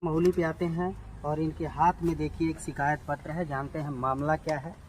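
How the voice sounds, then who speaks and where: A male reporter with a somewhat high voice conducts an on-the-spot news interview. He asks probing questions and hums along, with faint noise in the background. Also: level tone, moderate pace, low-quality recording. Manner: probing questions, frequent humming acknowledgements.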